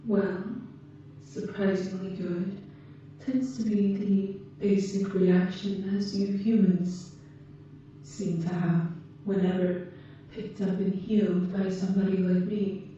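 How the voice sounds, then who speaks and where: A woman voicing a calm, caring female alien in an ASMR roleplay, speaking gently and formally in measured phrases to a patient. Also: mid-range voice, natural voice. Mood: calm, reassuring. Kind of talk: ASMR roleplay, scripted monologue to the listener. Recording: muffled, echoey, distant, faint noise.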